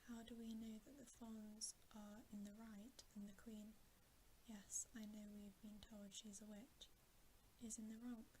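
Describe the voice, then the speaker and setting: A woman voicing a suspicious, sullen schoolboy from a storybook in a hushed, grudging whisper. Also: a little high, level tone, measured pace, natural voice, poor audio. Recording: faint background hiss.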